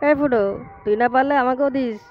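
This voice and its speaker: A woman voicing cheeky, excitable village men in a comedy cartoon, with slangy, teasing chatter. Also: sits high, fast, background noise, close to an everyday voice. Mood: excited. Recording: dull lo-fi sound, music behind.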